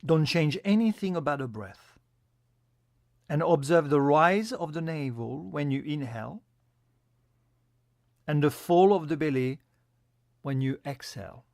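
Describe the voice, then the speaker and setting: A man giving a guided yoga nidra meditation as an instructor, in a calm, soothing, instructional manner. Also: fairly high pitch, measured pace, vocal fry, pauses often, dry recording, studio quality.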